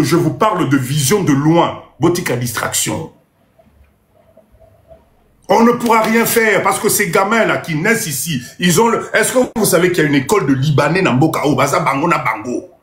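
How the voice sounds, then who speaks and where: A man's voice: a little high, lively intonation, normal speed, fairly bright tone, reverberant. A man with a Congolese accent talking insistently in a live stream, full of rhetorical questions. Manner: insistent, rhetorical questions, mixing French and Lingala. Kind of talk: emphatic live-stream monologue.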